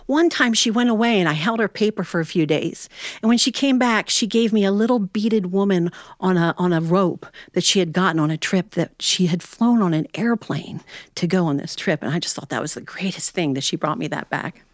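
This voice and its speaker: An American woman telling a humorous personal tale as a storyteller, in a folksy, animated manner with dramatic pauses. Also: on the low side, no room sound, studio quality. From Ohio, United States.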